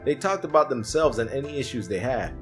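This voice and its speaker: A man narrating a short anecdote in an animated storytime style. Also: medium pitch, brisk, soft background music.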